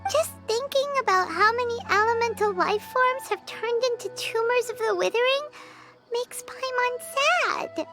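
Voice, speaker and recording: A woman's voice: extremely high pitch, unhurried, music in the background. A woman voicing a small, chirpy female fairy companion in a game who refers to herself in the third person. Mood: puzzled. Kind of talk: game cutscene voice acting. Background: game score.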